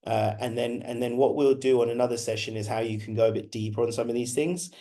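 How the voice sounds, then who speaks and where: A man in his thirties with a husky voice, slightly hoarse from being unwell, giving a conversational online presentation with frequent restarts. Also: mid-range voice, steady tone, quick pace, warm tone. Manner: conversational, many restarts and repetitions. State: recovering from illness, slightly hoarse. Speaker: guest speaker presenting a webinar.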